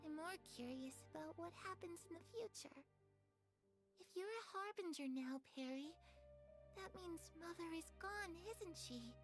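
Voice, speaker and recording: A woman's voice: extremely high pitch, expressive, unhurried, over music. A woman voicing a cheerful, curious young girl in a game cutscene, talking in a bubbly, chatty, trusting way.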